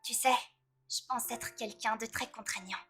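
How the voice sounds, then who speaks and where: A woman voicing a proud, sharp-tongued teenage girl in a French fan dub, talking in a cool, cutting way. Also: fairly high pitch, fairly even intonation, moderate pace, slightly rough. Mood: self-critical. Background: faint music.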